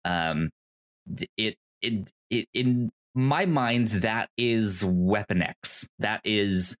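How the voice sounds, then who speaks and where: Slow, halting man chatting about films. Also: fairly low pitch. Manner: hesitant, stammering, halting delivery. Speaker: podcast host. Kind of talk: casual film discussion.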